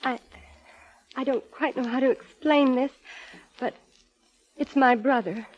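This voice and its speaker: Anxious woman, high voice, halting, earnest, old radio drama, dull recording. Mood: hesitant. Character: anxious, hesitant sister seeking help for brother. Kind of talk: 1950s American radio drama acting.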